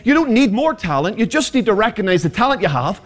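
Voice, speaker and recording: A man in his forties preaches in a high voice that swoops constantly. His delivery is passionate and rhetorical, with joking asides to the congregation. The pitch climbs and falls over a very wide span. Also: big pitch swings, fast. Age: 40s. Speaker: pastor. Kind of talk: sermon.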